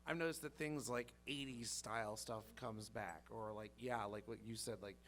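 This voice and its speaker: A man in his late twenties talking in a relaxed, conversational way. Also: lowish voice, normal speed, slight background noise. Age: 20s.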